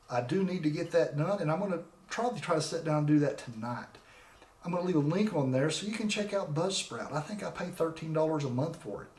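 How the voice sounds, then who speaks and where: A man's voice: fairly high pitch, darkish tone. Chatty mid-forties man, echoey room. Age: 40s. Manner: rambling and conversational, with frequent restarts. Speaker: podcast host. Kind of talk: casual solo podcast talk. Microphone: desk microphone with laptop.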